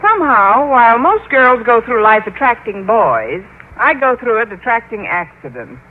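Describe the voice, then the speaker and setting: An actress playing a wry, self-deprecating high school English teacher with a dry, sardonic delivery, narrating and acting in old radio comedy style. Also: female voice, very animated, moderate pace. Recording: tinny, hissy old-time radio broadcast.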